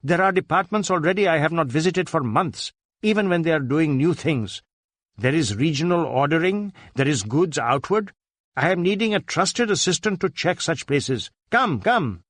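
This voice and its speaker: Imperious Indian magnate performed by a male narrator: higher voice, Indian accent, impatient commands, crime audiobook character. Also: animated, moderate pace, a bit bright, dry recording, clean studio sound. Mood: pressing, coaxing. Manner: imperious, barking short repeated commands. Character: domineering wealthy Indian businessman, impatient and grudging.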